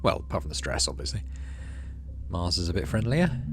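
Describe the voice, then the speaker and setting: A man's voice: low-pitched, big pitch swings, a little fast, heavy background noise. A man hosting a gaming playthrough, reading story text aloud and adding casual commentary. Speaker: gaming channel host.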